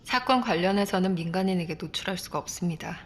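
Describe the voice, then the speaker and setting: A woman's voice: lowish voice, fast talker, husky. A woman acting a composed police inspector in an audio drama, formal and matter-of-fact. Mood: composed, official. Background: background music.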